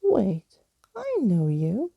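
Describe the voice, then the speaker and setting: A woman's voice: medium pitch, huge pitch swings, very slow, vocal fry, husky, highs cut off, exaggerated voice. Woman playing a bold young girl thief. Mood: wary. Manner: feisty, demanding. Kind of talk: acted storybook reading.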